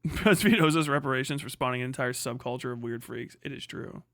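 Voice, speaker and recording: A man's voice: medium pitch, brisk, a bit bright, no echo, clean studio sound. A young man hosting a livestream, reading chat aloud and rambling in casual, profane commentary with frequent restarts. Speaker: livestream host.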